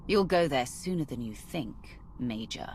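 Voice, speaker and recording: A woman's voice: very deep voice, animated, a little slow, a little mellow, slight background noise, exaggerated voice. An actress playing a ruthless, imperious woman commander in a video game, speaking with cold, commanding menace. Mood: menacing, sardonic. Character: ruthless, imperious female Sith commander of a fleet. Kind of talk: video game cutscene acting.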